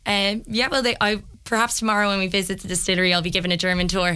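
A woman in her twenties with a Dublin accent, slightly hoarse, talking as a lively radio guest. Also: mid-range voice, rapid-fire. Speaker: festival contestant guest.

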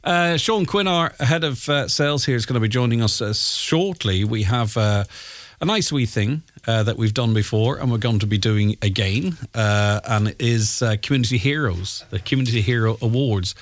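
Chatty radio host, dry studio. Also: male voice, mid-range voice, moderate pace, dry recording. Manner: conversational, with frequent fillers and restarts. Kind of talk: radio phone-in talk, reading listener messages. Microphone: studio microphone.

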